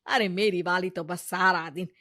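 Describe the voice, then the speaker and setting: A woman's voice: on the low side, fairly even intonation, moderate pace, sharp tone. A woman voicing an older, complaining mother-in-law who scolds and grumbles in a story. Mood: envious, grumbling.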